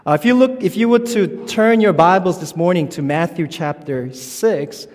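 A man in his thirties, from South Korea, preaching as a youth pastor in a halting, informal, friendly way. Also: sits high, a little mellow. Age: 30s. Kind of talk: sermon. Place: church sanctuary. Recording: long church reverb.

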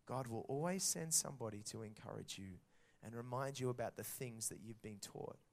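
A man preaching a sermon to children and parents, telling a story in a lively way and acting out the dialogue. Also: mid-range voice, fairly quick, gravelly.

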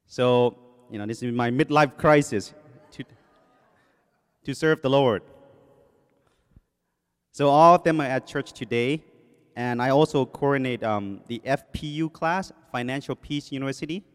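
Thai-accented man, forties, guest preacher at a podium; chatty, self-mocking, full of "you know"s; mid-pitched voice with a long church-hall reverb. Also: unhurried, long pauses, clear, resonant tone. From Thailand. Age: 40s. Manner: self-deprecating, joking, with many fillers. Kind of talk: sermon introduction. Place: church sanctuary. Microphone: podium microphone.

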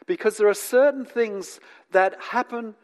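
A man from England who grew up in New Zealand and lives in Australia, preaching in a conversational, teacherly way with asides to his listeners and deliberate pauses. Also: sits high, a little mellow. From born in England, grew up in New Zealand, lives in Australia. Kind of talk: conversational sermon to an audience.

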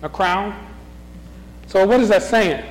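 Middle-aged man, high bouncy voice, runs a Bible class with questions and chuckles, long room reverb. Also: slow. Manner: questioning, chuckling, restarts often. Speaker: Bible study leader. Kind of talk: leading a group Bible discussion.

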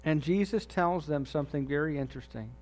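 A man preaching a sermon in a conversational way, dropping low and soft on key phrases. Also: fairly quick, soft background music. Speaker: pastor.

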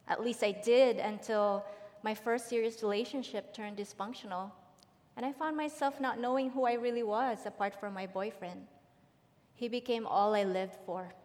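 A middle-aged woman from the Philippines, giving a prepared personal testimony in a large church hall. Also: medium pitch. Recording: long hall reverb.

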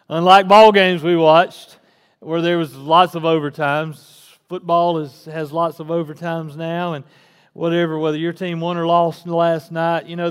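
A man's voice: high voice, smooth, big-room sound. A man, preaching a casual, folksy sermon to his congregation and rising to fervent emphasis. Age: 40s. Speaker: pastor. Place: church sanctuary.

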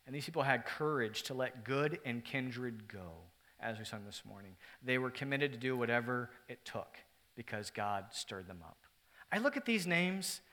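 A man preaching a sermon to his congregation, moving between loud, emphatic stretches and hushed, earnest ones, with joking asides to the room. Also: mid-range voice. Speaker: pastor.

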